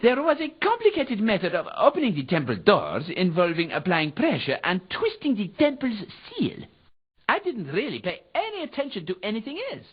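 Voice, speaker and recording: A woman's voice: medium pitch, huge pitch swings, normal speed, breathy edge. A woman voicing a mild, absent-minded inventor in a video game, with a hesitant, fussy, scholarly manner. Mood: hesitant, musing. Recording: dull, lo-fi game audio.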